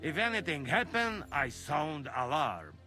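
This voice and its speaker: A tipsy, boastful night guard. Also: male voice, a little high, very animated, unhurried, bright, forceful tone, soft background music, full character voice. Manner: broken grammar, sing-song.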